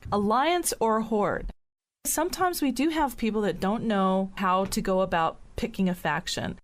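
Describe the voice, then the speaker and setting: A woman's voice: medium pitch, measured pace. A woman hosting a podcast, moderating a segment of listener questions. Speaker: podcast host.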